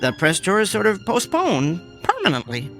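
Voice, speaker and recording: An earnest, defiant scientist husband in a radio play. His voice is high and bright, swinging widely in pitch. He is loyal and easily flustered. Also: wildly swooping pitch, moderate pace, soft background music, exaggerated voice. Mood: evasive. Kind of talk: radio drama acting.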